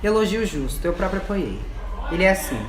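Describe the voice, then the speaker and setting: A man playing a stern guerrilla operations chief in an amateur radio drama, speaking in measured statements. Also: high-pitched, animated. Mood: grudging, judging. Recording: echoey room with background noise.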